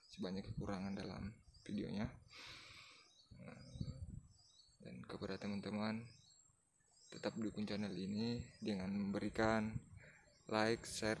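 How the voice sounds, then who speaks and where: Relaxed low-voiced man vlogging outdoors. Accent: Indonesian. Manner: relaxed, chatty, with hesitant fillers. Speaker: motovlogger. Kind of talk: casual vlog sign-off. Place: outdoors under a wooden beach shelter. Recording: outdoor camera with clear background noise.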